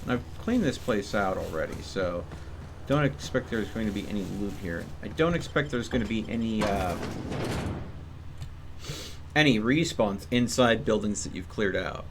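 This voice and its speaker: A man's voice: fairly low pitch, lively intonation, normal speed, a little hiss or hum. A man giving casual live commentary as he streams a game, musing to himself as he goes.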